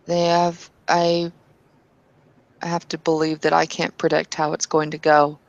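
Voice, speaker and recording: A woman playing slow, earnest kinfolk believer. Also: low-pitched, monotone, gravelly, no echo, lo-fi sound. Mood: pondering. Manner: hesitant, thinks aloud with fillers and restarts. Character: devout kinfolk woman of faith, earnest questioner. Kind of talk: tabletop roleplay in character.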